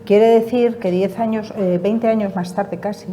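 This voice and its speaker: Woman from Spain, patient advocate on a panel; mellow tone, emphatic, faint background noise. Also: mid-range voice, fairly even intonation, normal speed. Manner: emphatic, repeats key points. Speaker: patient association representative. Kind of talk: panel interview answers.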